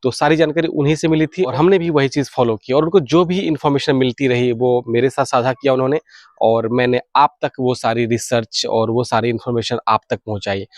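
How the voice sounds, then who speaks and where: A man's voice: mid-range voice, no room sound. Indian man hosting, very dry close sound. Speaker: video channel host sharing radio hobby news. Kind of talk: informal news update to viewers.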